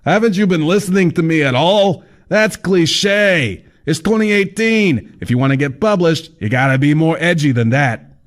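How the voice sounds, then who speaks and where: A man's voice: a little high, animated, normal speed, pitched above the performer's own voice, lightly acted. A man voicing a rotund, pushy writing mentor who talks in a showy, theatrical way.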